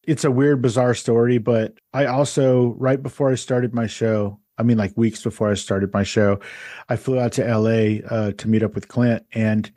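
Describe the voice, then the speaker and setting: A man's voice: medium pitch, steady tone, normal speed, mellow, no echo, clean studio sound. A man hosting a podcast, chatting in a friendly way and laughing often. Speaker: podcast host. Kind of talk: casual interview chat.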